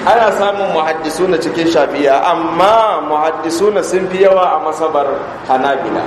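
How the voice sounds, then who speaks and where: A man's voice: a little high, brisk. A Nigerian Hausa man, an Islamic scholar, teaching a religious text in a didactic way, reading and explaining and putting questions to his listeners. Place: large echoing mosque interior. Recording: echoey hall, distant reverb, clear background noise.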